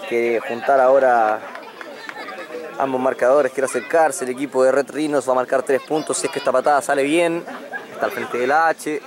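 A man with a Chilean Spanish accent giving live play-by-play commentary on a rugby match outdoors, quick and excited at the big moments. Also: a crowd in the background. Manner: quick, excited calls of the action, pitch jumping up on big moments.

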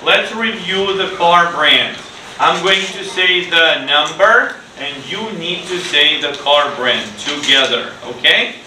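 A middle-aged man speaking as an English teacher, with deliberate, clearly enunciated delivery. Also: fairly high pitch, pushed and bright, a little hiss or hum, rough recording. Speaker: ESL teacher and video host. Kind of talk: presenting to camera and leading a children's class.

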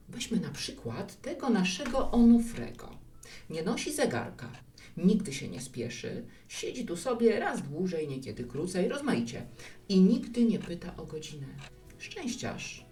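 A hospitable, musing woman who runs a bar, played by an actress in a radio play. Her voice is fairly low and very animated in its melody, recorded in a roomy space at some distance from the mic. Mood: reflective, playful. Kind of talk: Polish radio play dialogue.

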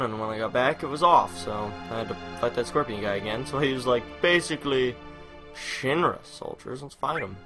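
A young man giving casual, rambling gameplay commentary with jokey mock bravado. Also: mid-range voice. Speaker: let's play commentator. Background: video game music.

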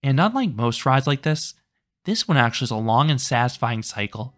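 A man's voice: medium pitch, big pitch swings, brisk, a bit bright. A man giving a scripted theme park review in a matter-of-fact, informative way, recorded as a voice-over. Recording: voice-over with faint music behind. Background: faint music.

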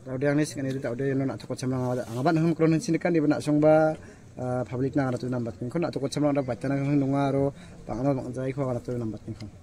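Brief: male interviewer, quick and brisk, mellow dark tone, says "acha" a lot, faint outdoor noise. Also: medium pitch, fairly even intonation. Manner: brisk questioning, frequent "acha". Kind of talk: asking interview questions. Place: outdoors by a rural fish pond.

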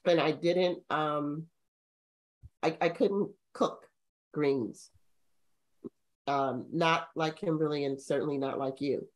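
An older woman with a low voice who speaks slowly. She co-hosts a casual video call and asks questions in a halting way, pausing on ums. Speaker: co-host. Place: home room.

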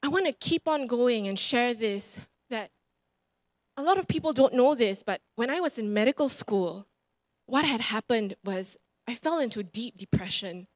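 A woman in her thirties with a Singaporean accent preaches from a church stage, her voice sitting a little high. She talks into a handheld mic with a dry, close sound. She paces and gestures while telling her own stories and repeats key lines for emphasis. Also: normal speed, no room sound. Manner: animated, roams the stage gesturing; emphatic, repeats phrases for effect. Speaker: guest preacher. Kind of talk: sermon with personal stories. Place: church stage before a congregation.

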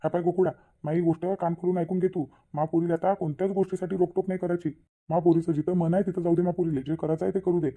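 Man voicing a bossy, fast-talking village father. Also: high-pitched, little pitch movement, dark tone. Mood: firm. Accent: rural Maharashtrian Marathi. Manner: insistent, scolding. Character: bossy, doting middle-aged village father. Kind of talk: cartoon comedy dialogue.

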